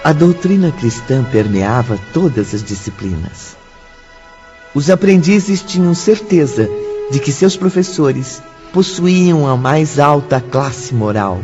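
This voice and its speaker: An actor narrating a radio drama as a reverent storyteller, formal, measured and declamatory, with a Brazilian accent. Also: male voice, fairly high pitch, expressive. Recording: slightly dull recording. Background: clear music.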